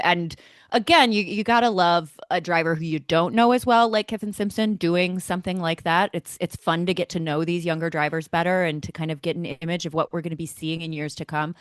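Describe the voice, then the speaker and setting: Lower-pitched woman in casual race chat. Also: no room sound, studio quality. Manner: enthusiastic, conversational. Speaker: motorsport podcast co-host.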